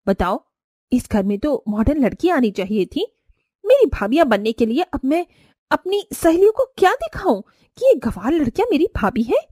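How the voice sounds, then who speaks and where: A woman's voice: high voice, sing-song, normal speed, dark tone, no echo, studio quality, voice pushed up. A woman voicing an arrogant, spoiled young rich sister-in-law in a cartoon story, haughty and complaining. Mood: scornful, whining.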